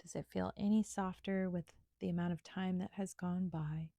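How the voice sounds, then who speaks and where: A woman instructor leading a guided meditation in a gentle, soothing, unhurried manner with pauses. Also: lowish voice, fairly even intonation, vocal fry, dry recording.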